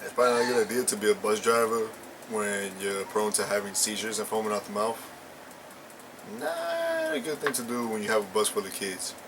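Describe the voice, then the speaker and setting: A man's voice: lowish voice, big pitch swings, fairly quick. Slangy young man, noisy recording. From Puerto Rican and Ecuadorian family. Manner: slangy, rambling, many fillers. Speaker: co-host. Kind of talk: casual chat, storytelling.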